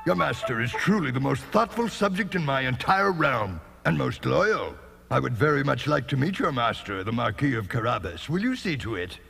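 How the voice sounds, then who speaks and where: A man's voice: medium pitch, sing-song, moderate pace, creaky, fairly bright tone, slightly rough, soft background music, exaggerated voice. An actor playing a jovial, easily impressed old king in a cartoon fairy tale, with a stately, effusive manner. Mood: gracious, pleased.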